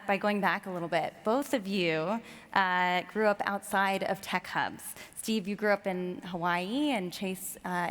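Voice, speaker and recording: A woman's voice: mid-range voice, a bit bright. A woman moderating an onstage discussion, putting questions to panel guests. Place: conference stage in a large hall. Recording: reverberant hall, stage sound system.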